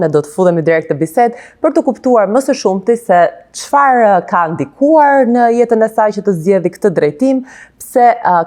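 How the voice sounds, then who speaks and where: A woman's voice: medium pitch, darkish tone. A woman, hosting a TV interview and switching between languages, often hesitating with fillers. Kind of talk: bilingual TV interview.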